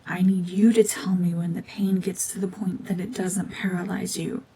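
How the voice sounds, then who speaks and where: A woman acting a cold, domineering mutant witch in an ASMR roleplay drama, hushed and often whispering, with terse, guarded commands. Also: on the low side, flat delivery, normal speed, slight background noise, close to an everyday voice. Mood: cold, controlled. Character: renegade mutant cyborg gorgon witch, female, cold, domineering, slowly softening.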